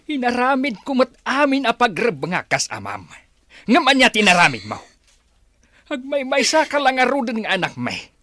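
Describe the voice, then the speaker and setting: Casting: actor as stern, emphatic father for audio drama, high forceful voice, very animated delivery. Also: normal speed, dry recording, studio quality, exaggerated voice. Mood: angry, wounded. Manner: scolding, emphatic. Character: stern, wounded father disowning his daughter. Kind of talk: Ilocano audio drama acting.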